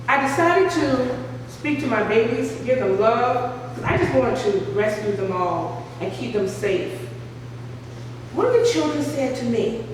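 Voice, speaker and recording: A woman's voice: fairly high pitch, distant mic, a little hiss or hum. A woman author reading aloud from her book with earnest, heartfelt storytelling at a cafe. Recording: echoey room, voice from afar.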